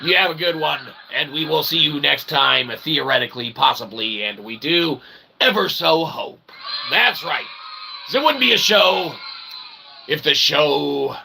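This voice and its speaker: A man's voice: a little high, expressive, measured pace, gravelly, pushed and bright, slight background noise. A man hosting a show in a rambling, jokey, theatrical way with mock-formal wordy phrasing. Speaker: show host and author. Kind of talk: rambling show sign-off addressed to audience.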